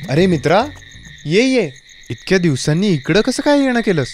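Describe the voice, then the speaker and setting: Man playing lively, chummy village friend. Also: high-pitched, normal speed, soft background music, pitched above the performer's own voice. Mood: delighted. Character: hearty, welcoming young village friend. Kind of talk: children's fairy-tale cartoon acting.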